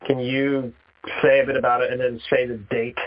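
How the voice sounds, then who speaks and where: A man's voice: mid-range voice, little pitch movement, fairly quick. A man hosting a casual phone chat with a friend, speaking in an informal, conversational way. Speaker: host, interviewer. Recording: dull, band-limited phone call.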